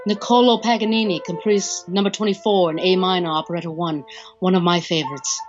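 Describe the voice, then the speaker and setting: A woman playing a courtly, devoted immortal lover in an audio drama, gentle, formal and coaxing with a possessive edge. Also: fairly low pitch, steady tone, brisk, natural voice. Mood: wistful, pleased. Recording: muffled audio, faint music behind.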